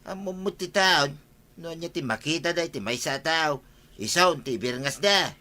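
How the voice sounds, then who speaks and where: A man's voice: on the high side, normal speed, bright, forceful tone. A man with an Ilocano accent playing a gossipy villager in a radio comedy drama. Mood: chatty. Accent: Ilocano, northern Philippines. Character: gossipy village man explaining comic nicknames.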